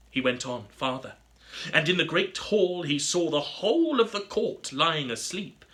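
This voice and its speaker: A man reading a scripted fairy tale aloud as a storybook narrator, with an expressive, theatrical delivery. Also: fairly high pitch. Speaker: storybook reader.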